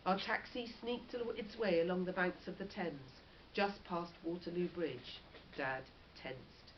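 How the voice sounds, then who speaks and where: A woman's voice: on the low side, unhurried. A woman reading a children's story aloud expressively, like a teacher. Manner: animated, with short explanatory asides. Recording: dull lo-fi audio with faint noise.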